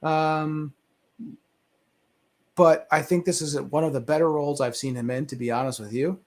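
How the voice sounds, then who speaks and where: A man's voice: on the high side, fairly even intonation, normal speed, darkish tone. A man on a movie discussion panel, giving opinions casually and conversationally, often rephrasing himself as he goes.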